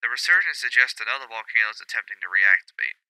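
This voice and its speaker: A grave male news anchor acted out by a man reads a scripted bulletin. The voice is very bright and forceful, crisp and a touch low. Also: expressive, fast, no room sound, full character voice, poor audio. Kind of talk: scripted newscast acting.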